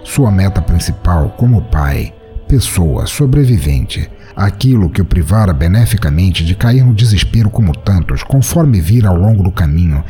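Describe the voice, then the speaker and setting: A Brazilian man hosting a podcast and narrating scripted fiction in an expressive, theatrical way. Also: lowish voice. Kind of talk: dramatic scripted short-story narration.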